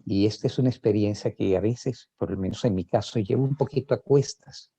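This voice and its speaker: Older male psychoanalyst, hesitant testimony, dark low voice, dry lo-fi sound. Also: a little fast, vocal fry, slightly rough, no room sound. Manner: hesitant, many fillers and restarts. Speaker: psychoanalyst sharing personal experience. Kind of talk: reflective spoken testimony. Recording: dull lo-fi sound.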